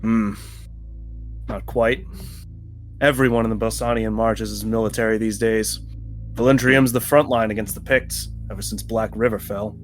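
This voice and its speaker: A man's voice: lowish voice, steady tone, moderate pace, pauses often, soft background music, lightly acted. A man voicing a commanding frontier soldier in a tabletop game, speaking formally and tersely. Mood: commanding, steady.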